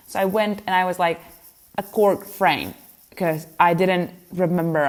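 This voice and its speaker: A young woman whose first language is Spanish, chatting casually to camera in a vlog, teasing herself and laughing often. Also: fairly low pitch. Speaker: vlogger.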